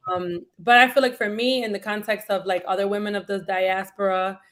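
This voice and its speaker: A woman's voice: medium pitch, steady tone, brisk, fairly bright tone. A woman from New York speaking as a panel guest, thoughtful and full of fillers like "um" and "like". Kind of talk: casual discussion.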